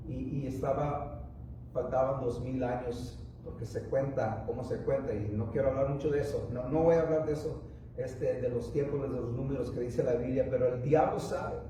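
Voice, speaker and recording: A man's voice: medium pitch, mellow, heavy reverb, distant mic, a little hiss or hum, low-quality recording. A man preaching a sermon, a native English speaker who halts and restarts often as he speaks. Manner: rambling, halting with many restarts and fillers. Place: church.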